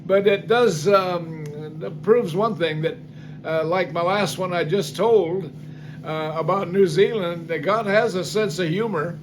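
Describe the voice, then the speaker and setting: A man's voice: high-pitched, measured pace, background noise. An older man casually telling a story from his life in a folksy, rambling way, with many fillers and restarts. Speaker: singer telling life stories. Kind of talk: casual spoken testimony.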